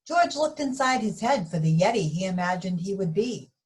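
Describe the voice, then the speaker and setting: A woman reading a picture book aloud to young children, expressive and addressing them directly. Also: medium pitch, moderate pace, poor audio. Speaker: story reader for young children.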